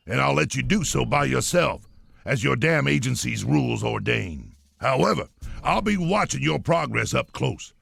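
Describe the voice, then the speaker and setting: An actor playing a blustering, bossy old army colonel with a gravelly voice. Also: male voice, medium pitch, fairly bright tone, dry recording, full character voice. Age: older. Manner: gruff, boastful and commanding.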